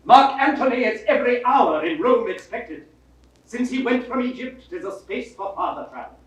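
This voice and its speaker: An actor playing an urgent Roman messenger in a 1960s Shakespeare audio drama, recorded from a distance with echo. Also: male voice, extremely high pitch, lively intonation, moderate pace. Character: Varrius, urgent Roman messenger bringing news. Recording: distant, very echoey.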